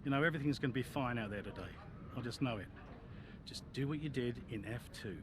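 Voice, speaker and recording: Actor as reassuring team mentor, noisy surroundings. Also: male voice, medium pitch, quick pace, close to an everyday voice. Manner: encouraging, short phrases. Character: reassuring, supportive male mentor in a racing team.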